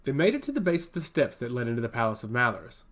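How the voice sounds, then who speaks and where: A man narrates a fantasy story from a script. His mid-pitched voice moves widely up and down, giving the storybook reading an animated lilt. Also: very fast.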